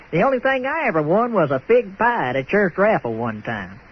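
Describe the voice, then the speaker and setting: An actor playing a folksy, excitable manure hauler with a Southern American accent, stammering and drawling in an old-time radio comedy. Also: male voice, sits high, moderate pace. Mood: impressed, touched. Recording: muffled radio sound with faint noise.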